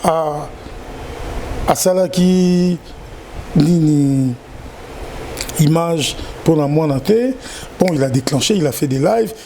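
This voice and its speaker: A Congolese man with a Congolese French accent, talking as an interview guest in a slightly raised register. His tone is warm and mellow, and he speaks haltingly, often repeating words before going on. A faint hiss sits under his voice. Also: fairly high pitch. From Democratic Republic of the Congo. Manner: halting, repeats words, slow measured delivery. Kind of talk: casual interview chat.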